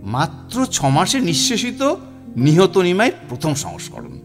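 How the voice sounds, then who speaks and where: Helpless older publisher, audio drama, theatrical pleading, halting, animated highish voice, music behind. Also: male voice, full character voice. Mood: effusive, coaxing. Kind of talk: Bengali audio drama acting.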